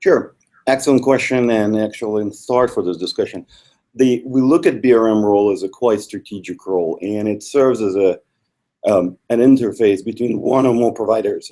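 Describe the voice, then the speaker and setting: A middle-aged man from Ukraine with a Ukrainian accent, a guest speaking in a measured way with small slips and restarts. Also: lowish voice, dark tone. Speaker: guest, institute co-founder. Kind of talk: video interview.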